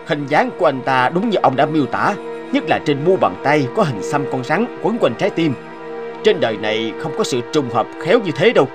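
A shrewd cartoon police investigator voiced by a man, stern yet courteous. He speaks formally and probingly with lively intonation, over clearly audible music. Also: on the high side, fairly quick. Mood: firm, probing. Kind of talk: animated moral-tale dubbing.

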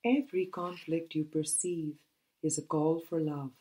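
Slow, low-voiced woman reading aloud. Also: fairly even intonation, mellow. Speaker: audiobook reader. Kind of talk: scripted spiritual book reading.

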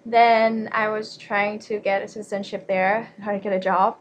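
A woman's voice: medium pitch, level tone, moderate pace, slight background noise, rough recording. A young woman with a Thai accent, a Thai native speaker, casually teaching Thai on a livestream from a small bedroom, chatty with lots of fillers and restarts. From Thailand. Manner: chatty, laughs often, many fillers and restarts.

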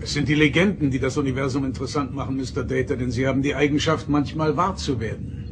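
A man playing a dignified, reflective starship captain with a formal, measured delivery in a German radio play. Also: medium pitch, steady tone, noisy background, close to an everyday voice. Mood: formal, calm.

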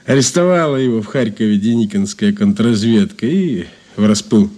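An actor in a Soviet radio drama playing a wry, folksy informant who talks colloquially, with halting fillers. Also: male voice, on the low side, lively intonation, warm tone. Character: male ex-Makhnovist informant, folksy, wry and laconic.

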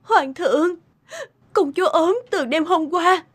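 A weeping, very high-voiced consort. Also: female voice. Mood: tearful, fearful. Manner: slow, plaintive, faltering. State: crying. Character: distraught, tearful young royal consort.

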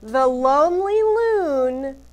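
Woman, teacher, children's read-aloud, animated pitch, slow pace, deliberate emphasis. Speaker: art teacher reading aloud to classes. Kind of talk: picture book read-aloud.

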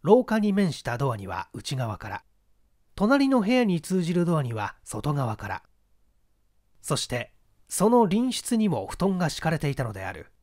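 Woman narrator with lively, varied intonation. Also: deep voice, normal speed. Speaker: audiobook reader. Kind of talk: scripted narration of a mystery story.

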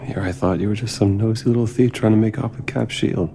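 An actor playing a weary, guilt-ridden middle-aged scientist in a video game, talking in a halting, hesitant mumble. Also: male voice, lowish voice, fairly even intonation, gravelly, background noise. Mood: skeptical. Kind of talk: naturalistic video game cutscene acting.

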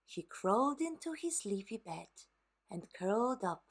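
A woman narrating a children's story for a puppet show in a measured, deliberate way. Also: a little high, sing-song, husky.

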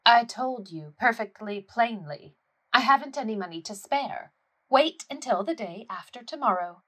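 Woman acting a sharp, haughty widow. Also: a little high, lively intonation, moderate pace, husky, low-quality recording. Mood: indignant. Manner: haughty, formal, indignant. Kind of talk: theatrical audio play acting.

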